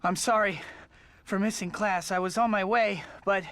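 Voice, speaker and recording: A man's voice: sits high, fairly even intonation, moderate pace, sharp tone. An actor playing an earnest young male student who is apologetic and polite. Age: young adult. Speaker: student and pizza delivery worker.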